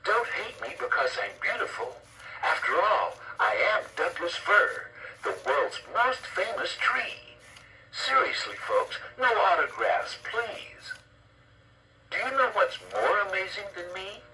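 A jolly talking Christmas tree speaks slowly in a high, hammy show-off voice. The delivery is theatrical and playfully vain. It comes from a noisy cassette playing through a toy across the room. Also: male voice, sing-song, bright, forceful tone, far from the mic, heavily acted. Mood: playful, boastful. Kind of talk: scripted toy character performance, recitation, jokes and crooning. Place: played from a toy's speaker indoors.

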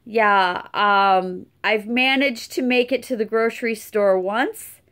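A middle-aged woman giving a casual update from home, tired and a little hoarse, chatting with many fillers. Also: medium pitch, fairly bright tone. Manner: chatty, unhurried, lots of fillers. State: tired, losing her voice. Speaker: channel host. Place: cluttered room at home. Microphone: webcam close up.

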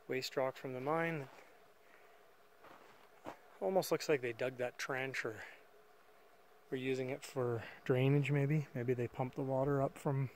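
A man's voice: medium pitch, slight background noise. A man filming and casually narrating a walk through an old mine site outdoors, thinking aloud with fillers on a camera mic. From Canada. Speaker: mine explorer filming a walkthrough.

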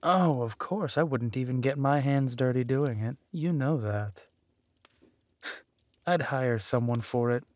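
A man playing a ruthless, haughty duke in a villain audio drama, drawling deliberately and with menace. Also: medium pitch, no room sound, lightly acted. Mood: sly, scheming. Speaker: voice actor.

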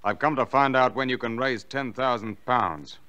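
An actor playing a blunt, threatening creditor in a vintage radio drama, terse and cold. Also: male voice, mid-range voice, normal speed, pushed and bright, highs cut off, close to an everyday voice. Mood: menacing. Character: menacing, blunt male creditor, cold and impatient. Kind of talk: 1940s radio drama acting.

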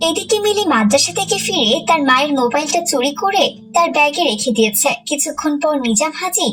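A woman's voice: high voice, animated, fast, fairly bright tone, recorded from a distance. A woman reading scripted storybook narration for a children's cartoon.

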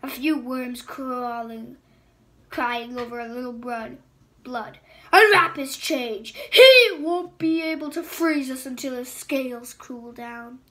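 A woman's voice: sits high, slow, sharp tone, heavily acted. A woman voicing a scheming, venomous female sand dragon queen who hisses and mocks, reading a storybook aloud. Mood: scornful. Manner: menacing, mocking, hissing taunts. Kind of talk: storybook read-aloud voice acting.

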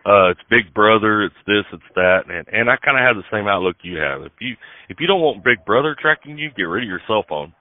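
A man's voice: fairly low pitch, animated, fairly quick, dry recording. A man hosting a casual recorded phone conversation, chatting in a folksy, chummy way over a phone line. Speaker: podcast host interviewing a guest. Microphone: phone call. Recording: dull, band-limited phone call recording.

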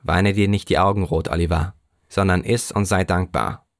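A man's voice: on the low side, lively intonation, moderate pace, vocal fry, no room sound, clean studio sound. A man voicing a pompous, self-satisfied parish beadle lecturing an orphan boy in an audiobook. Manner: pompous, self-important, threatening.

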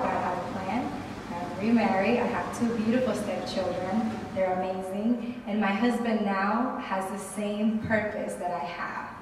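Lively woman speaker, roomy echo from far off, some hiss. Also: mid-range voice. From Dominican Republic. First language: Spanish. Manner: lively, upbeat, faith-filled. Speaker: guest speaker. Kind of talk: motivational talk. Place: hotel meeting room. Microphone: podium mic on a stand, recorded by a far camera. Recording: echoey room from far off, noisy.

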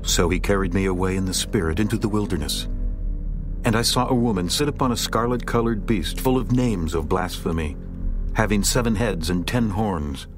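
A man narrating scripture in a slow, solemn, stately reading over music. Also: low voice.

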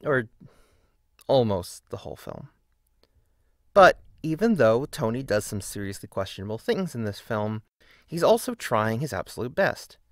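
A man's voice: mid-range voice, big pitch swings, a little slow, a bit bright, no echo, studio quality. A man delivering scripted video-essay narration with casual asides, in an opinionated, emphatic and wry manner.

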